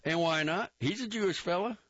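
A man voicing a gruff, impatient army captain with a loud, bullying, sarcastic manner. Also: on the high side, very animated, normal speed, dry recording, pitched above the performer's own voice. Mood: angry. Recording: dull dry recording.

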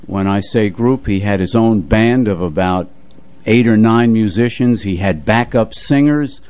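An older man reminiscing in casual radio chat as a co-host, with a measured, halting delivery. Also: fairly low pitch, darkish tone. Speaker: radio co-host and music presenter.

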